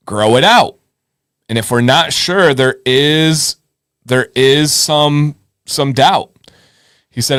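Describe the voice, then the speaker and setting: A man hosts a casual live advice show in a medium-pitched voice. He is encouraging and informal, often repeating words as he thinks aloud. The sound is dry and close. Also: a bit bright, no room sound. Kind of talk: casual live show chat, reading viewer messages and giving advice.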